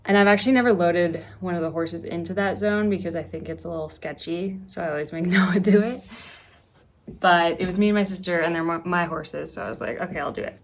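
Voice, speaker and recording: A woman's voice: fairly low pitch, brisk, slight background noise. A woman vlogger telling a casual personal story, acting out reactions as she goes.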